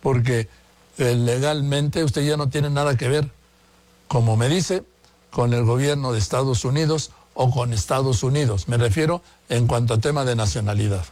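An older man speaks as an interviewer. His pitch is middling, and he presses and restates his points at length in a conversational way, as a news host does. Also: normal speed, darkish tone, no echo. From born in Madrid, naturalized Mexican.

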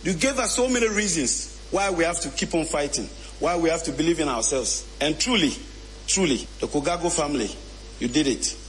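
A man, a football coach giving a slow, earnest thank-you speech. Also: on the high side, expressive, poor audio.

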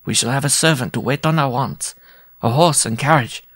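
A tiny, proud French circus dwarf, acted out by a man in a storybook reading. The voice is raised and shrill, with florid, theatrical phrasing and a touchy, lovesick pride. It sounds dry and close. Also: normal speed, fairly bright tone, breathy edge, no echo. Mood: proud, earnest. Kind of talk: storybook character voice.

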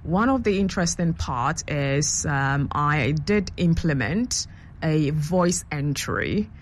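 A woman's voice: deep voice, expressive, slow pace, slight background noise. A woman who grew up in London, a guest on a radio show, explaining her app in a chatty way with many fillers. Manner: chatty, explanatory, many ums and you-knows. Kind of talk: radio interview answers.

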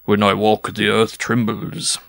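A man's voice: fairly low pitch, flat delivery, measured pace, creaky, fairly bright tone, no room sound, studio quality. A man voicing a boastful, drunken sideshow giant in a storybook reading, ponderous and bragging.

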